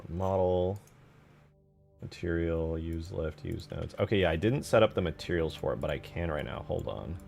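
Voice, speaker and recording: Deep voiced guy with lots of fry, chatting casually while he works, faint music behind. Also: normal speed, a little mellow. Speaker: game modder showing work in progress. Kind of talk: casual screen-share commentary.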